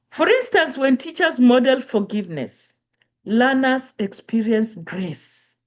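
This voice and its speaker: A woman's voice: fairly high pitch, a little mellow. A woman giving an earnest, emphatic motivational lecture, repeating key phrases.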